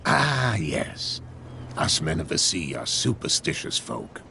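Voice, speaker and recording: Gravelly old sailor. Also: male voice, low voice, sing-song, slow, background noise, full character voice. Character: superstitious old male sailor at the docks. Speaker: dockside sailor character. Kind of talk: video game voice acting.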